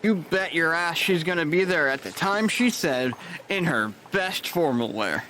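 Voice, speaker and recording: A man plays a cynical, foul-mouthed hospital worker who talks with sarcastic, animated swings in a fairly high voice. Faint room ambience sits behind him. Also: unhurried, a little hiss or hum. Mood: sardonic.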